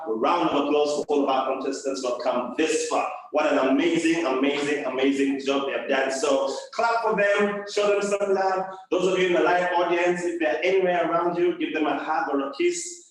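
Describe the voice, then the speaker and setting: A man hosts a live event as master of ceremonies, playfully addressing the audience like a showman. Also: fairly high pitch. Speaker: master of ceremonies at a speech contest. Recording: very echoey room, distant microphone.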